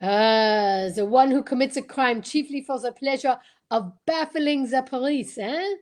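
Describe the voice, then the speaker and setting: A woman's voice: sits high, unhurried, a little mellow. A woman playing a courteous, self-assured male Belgian detective in an old-time radio style drama, theatrical and peppered with French phrases. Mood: confident, deliberate.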